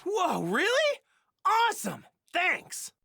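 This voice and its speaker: A woman voicing a naive, cheerful, battle-hungry male martial arts hero in an anime video game dub, with a folksy, boyish, excitable manner. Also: very high voice, takes it slowly, creaky, no echo, clean studio sound, exaggerated voice. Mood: amazed.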